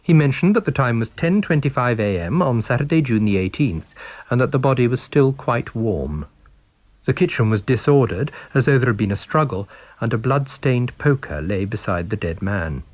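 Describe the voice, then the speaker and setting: A man's voice: mid-range voice, lively intonation, moderate pace, no echo. A British man reading a scripted story as an audiobook narrator. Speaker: audiobook reader. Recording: dull, band-limited recording.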